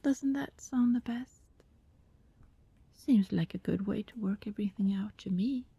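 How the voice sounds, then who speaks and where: Soft, breathy vampire woman, acted, speaking slowly. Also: mid-range voice, dry recording, highs cut off, full character voice. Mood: placating. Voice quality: soft, breathy, often dropping to a whisper. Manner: hesitant, politely formal wording, sighing. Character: apologetic, anxious female vampire neighbor pleading to be understood. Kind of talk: ASMR roleplay acting.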